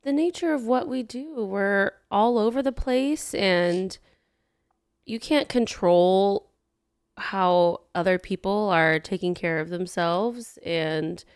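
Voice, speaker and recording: A woman co-hosting a podcast in a studio, chatting casually, gushing with enthusiasm and quickly agreeing with her co-host. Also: medium pitch, clear, resonant tone, no echo. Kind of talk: casual chat.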